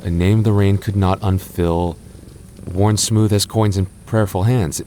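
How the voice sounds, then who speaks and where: A man playing a shy, soft goth boyfriend. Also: sits low, fairly even intonation, measured pace, a little hiss or hum. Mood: puzzling. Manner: soft-spoken, stammering, reassuring. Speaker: voice actor. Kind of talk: ASMR boyfriend roleplay.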